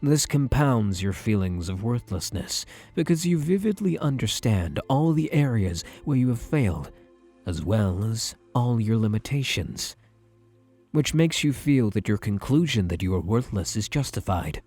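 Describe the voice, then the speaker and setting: A man playing a self-assured, possessive psychiatrist in an ASMR roleplay, speaking in a measured, soothing, formal manner with a husky voice. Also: on the low side, sing-song, a little mellow, soft background music, exaggerated voice. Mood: measured, clinical. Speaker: roleplay voice actor. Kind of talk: ASMR roleplay, scripted monologue to the listener.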